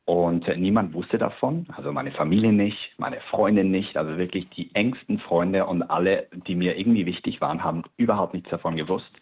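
Low husky man over a phone line. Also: fairly quick, few pauses. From Switzerland. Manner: hesitant, many fillers and restarts. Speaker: guest artist. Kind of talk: personal interview account.

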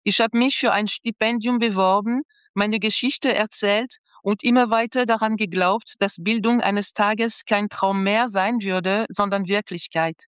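A woman's voice: mid-range voice, smooth, no echo, highs cut off. Woman reading an earnest voice-over translation. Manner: calm, earnest, deliberate. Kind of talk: reading a translated first-person interview.

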